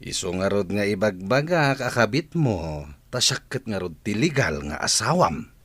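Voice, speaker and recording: A man's voice: lowish voice, big pitch swings, normal speed, a bit bright, breathy edge, dry recording, exaggerated voice. A man acting a mocking, scheming husband in an Ilocano radio drama. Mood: wounded boasting. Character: mocking, scheming husband of a kept-money wife.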